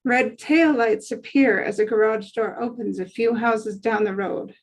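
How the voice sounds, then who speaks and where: High-voiced woman narrating a script. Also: steady tone, a little slow, rough recording. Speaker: narrator reading stage directions at a table read. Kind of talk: scripted narration, screenplay read aloud.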